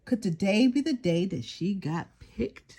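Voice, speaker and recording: An older woman reading a children's story aloud in an expressive storytelling style. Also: mid-range voice, unhurried, slight background noise.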